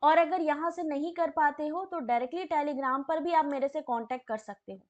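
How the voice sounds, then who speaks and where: A woman with a hoarse, sore throat teaching a live online exam-prep class, reading out questions and chatting with viewers by name. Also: high voice, normal speed, highs cut off.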